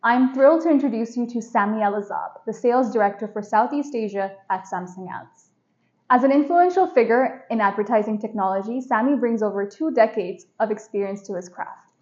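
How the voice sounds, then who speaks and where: A woman's voice: on the high side, fairly quick, a little mellow, dull audio. A woman hosting a podcast, giving a polished, enthusiastic introduction and asking interview questions. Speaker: podcast host.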